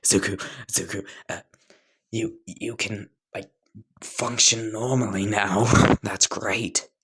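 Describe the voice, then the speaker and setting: A man's voice: low voice, slow, pushed and bright, slight background noise, heavily acted. A man voicing an eager, unfazed young male hero in a casual, breezy way in a fan story. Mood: excited.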